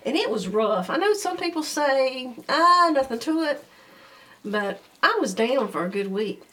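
A woman's voice: high voice, sing-song, measured pace, pushed and bright. Matter-of-fact woman co-host. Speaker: garden show co-host. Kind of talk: casual radio show chat. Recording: distant mic.

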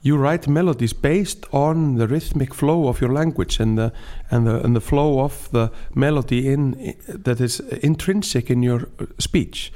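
Man, Icelandic accent, medium-pitched voice, casual podcast guest, halting phrasing, searches for words. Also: mellow. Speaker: singer-songwriter and storyteller, podcast guest.

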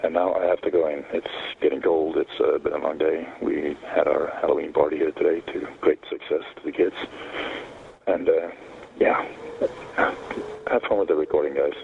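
A man calling in from outdoors on his balcony, rambling and halting with many fillers. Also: rumbling low, level tone, gravelly. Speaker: caller, listener. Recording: tinny phone line with faint background noise.

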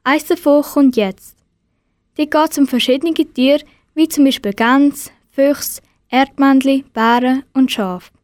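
A woman's voice: high voice, slow pace, a little mellow, no echo, clean studio sound. A woman presenting a scripted introduction on radio.

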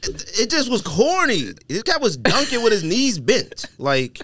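A man's voice: sits high, very animated, measured pace, fairly bright tone, no room sound. An American man hosting a casual sneaker podcast, talking in slangy, opinionated banter and repeating himself for emphasis. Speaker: podcast host.

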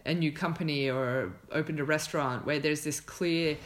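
A podcast host with a mid-pitched voice speaks casually, as in a relaxed conversation. The delivery is informal and reflective, with thoughts worked out aloud. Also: normal speed, a little hiss or hum.

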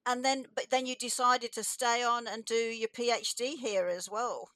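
A woman's voice: a little high, fairly even intonation, normal speed, dry recording. A woman hosting an interview, prompting her guests with questions and frequent short acknowledgements. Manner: warm-toned prompting, frequent backchannels. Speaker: host.

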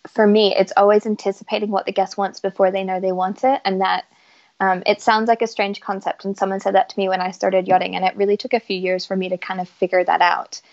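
A woman's voice: medium pitch, flat delivery, fast. A woman in her thirties, a yacht chief stewardess, speaking in a casual interview with a mixed Canadian and British accent, enthusiastic and full of fillers. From Isle of Man, raised in Canada. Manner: chatty, enthusiastic, many fillers. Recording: slightly dull lo-fi audio.

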